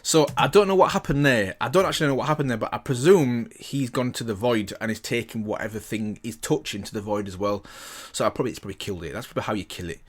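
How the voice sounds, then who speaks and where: A man's voice: medium pitch, fast talker, few pauses. A man giving casual, enthusiastic reaction commentary as a host, often repeating himself for emphasis. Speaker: host of a reaction and review channel.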